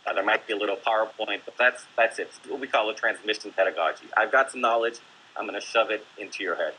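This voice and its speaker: A man's voice: on the low side, quick pace, bright, forceful tone, poor audio. Man, teacher, panel guest, earnest, faint background noise. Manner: earnest, explanatory, with many fillers. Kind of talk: discussion panel talk.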